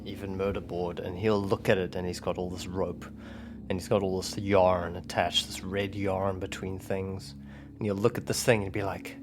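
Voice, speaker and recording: Low male voice at the game table, music behind. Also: flat delivery, normal speed. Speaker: tabletop roleplaying player. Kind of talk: casual chat, describing his character. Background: instrumental music bed.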